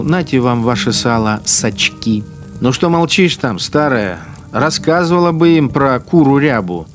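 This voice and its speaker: A man's voice: mid-range voice, natural voice. A male actor plays a wartime collaborator policeman with a mid-range voice. He is sneering and cruel, toying with his victims in a brooding dramatic monologue. Music runs under his words. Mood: mocking. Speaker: actor in a radio play. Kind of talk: dramatic first-person interior monologue.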